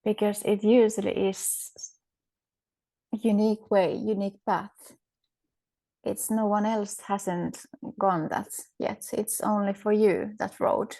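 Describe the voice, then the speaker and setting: A woman's voice: mid-range voice, flat delivery, slow, creaky, mellow. A woman with a Finnish accent, a podcast guest speaking thoughtfully, often searching for words with fillers and restarts. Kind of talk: reflective interview conversation.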